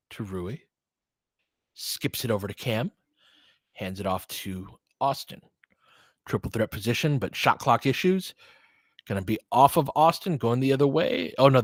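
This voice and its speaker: A man doing casual live basketball commentary and analysis, talking informally and thinking out loud as he goes. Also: medium pitch, no echo, clean studio sound. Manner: conversational, informal, thinking aloud. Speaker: basketball play-by-play streamer and analyst.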